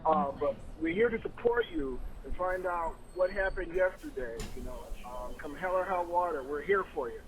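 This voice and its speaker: A man's voice: a little high, moderate pace, hardly any pauses, natural voice, rough recording. A man acting a supportive middle-aged father in an audio play, rambling through a reassuring phone message. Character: supportive, talkative dad calling from the car. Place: inside a moving car, as dramatized. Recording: faint car noise behind.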